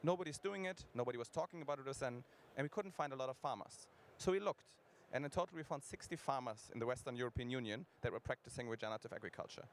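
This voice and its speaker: A man giving a conference pitch as a startup co-founder, addressing the audience directly and engagingly. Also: fast talker, few pauses, slight background noise.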